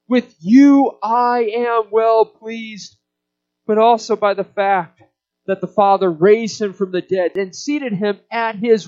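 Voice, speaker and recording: Male preacher doing a sermon, kinda high voice, emphatic n deliberate, muffled audio. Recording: dull, band-limited sermon audio.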